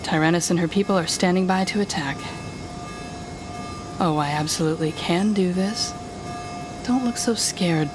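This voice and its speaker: A woman playing a smug, controlling telepathic villain in an audio roleplay, taunting the listener in a deliberate, condescending manner. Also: on the low side, lightly acted. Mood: confident, mocking.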